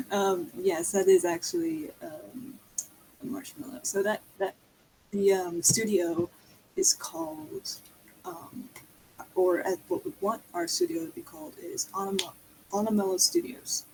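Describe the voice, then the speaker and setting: A woman's voice: sits high, very animated, a little slow, gravelly, mellow, a little hiss or hum, poor audio. A young woman chatting casually on a livestream in a hesitant, rambling way. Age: young adult.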